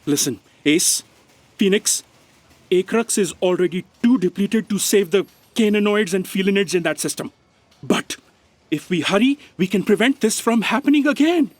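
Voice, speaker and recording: A stern, exasperated commander, acted by a woman, gives blunt orders in an audio drama. The sound is close and dry, at a medium pitch. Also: animated, moderate pace, a little mellow, no echo, lightly acted. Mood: urgent briefing. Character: stern, exasperated official in charge.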